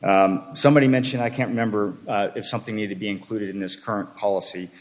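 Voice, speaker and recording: An older man, raised in Texas, speaking during public comment at a city meeting and listing his points one by one in a measured way. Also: fairly low pitch, fairly even intonation.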